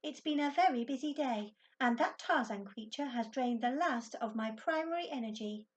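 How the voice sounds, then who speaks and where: A woman's voice: a little high, normal speed, pitched above the performer's own voice, natural voice. A woman voicing a haughty little female alien in a storybook, prim, precise and dismissive.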